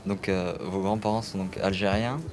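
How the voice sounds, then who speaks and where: A man's voice: low voice, fairly even intonation, normal speed, crowd noise. A man interviewing a filmmaker, asking polite, somewhat hesitant questions. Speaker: citizen reporter interviewer.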